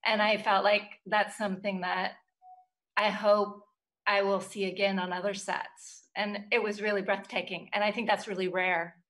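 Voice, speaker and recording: Woman, middle-aged, crisp bright tone, sincere and searching delivery, casual cast panel on video. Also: mid-range voice, flat delivery. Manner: earnest, halting. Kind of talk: casual group video chat. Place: small room, indoors. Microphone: computer mic on video call.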